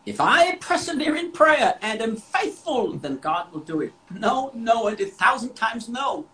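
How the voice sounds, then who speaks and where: A man's voice: very high-pitched, big pitch swings, unhurried, pushed and bright, slight background noise. Emphatic man preaching. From Austria. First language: German. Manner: emphatic, repeats words for stress. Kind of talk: devotional sermon with interpreter.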